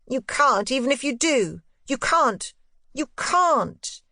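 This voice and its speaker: A woman voicing a precocious, sweetly evasive young boy with a deliberate, teasing manner for a literary audiobook. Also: sits high, very animated, pushed and bright, no room sound, clean studio sound, pitched above the performer's own voice, full character voice. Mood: insistent, exultant. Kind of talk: audiobook character voice, literary ghost story.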